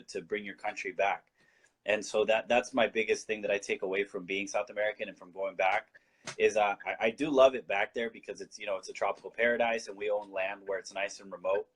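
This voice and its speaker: A man's voice: fairly low pitch, fairly even intonation, a little fast, breathy edge. A man from Colombia, a guest in a casual talk-show discussion, explaining his views earnestly and often restarting his sentences.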